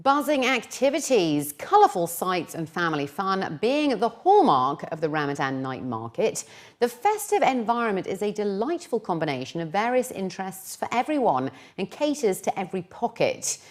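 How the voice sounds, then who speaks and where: A woman's voice: mid-range voice, sing-song, moderate pace. A woman reading scripted television news as a presenter.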